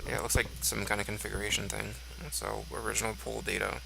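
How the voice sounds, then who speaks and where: A man's voice: fairly low pitch, monotone, fast, creaky, noisy background. A congested man thinking aloud as he walks through code on screen, musing and offhand. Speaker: code reviewer. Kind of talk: screen-recorded code walkthrough.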